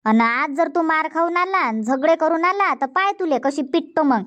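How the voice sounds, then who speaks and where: Cartoon granny, acted out: high voice, blunt and scolding, Varhadi Marathi accent, muffled lo-fi sound. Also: moderate pace, a bit bright. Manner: nagging, threatening, blunt. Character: scolding, stern old village grandmother. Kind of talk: cartoon comedy dialogue. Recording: dull, muffled lo-fi audio.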